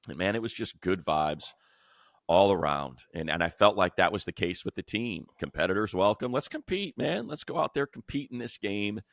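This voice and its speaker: American male sports co-host, very low voice, close dry sound, slangy and animated storyteller. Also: normal speed, gravelly, no room sound. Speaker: sports radio play-by-play announcer and podcast co-host. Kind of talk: casual sports chat.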